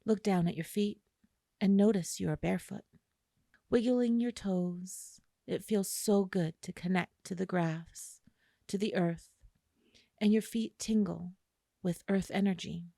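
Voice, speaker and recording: Woman, meditation guide, soft dark tone, creaky edges, soothing unhurried delivery, close dry sound. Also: medium pitch, dry recording. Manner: slow, soothing delivery with long pauses. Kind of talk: guided imagery meditation narration.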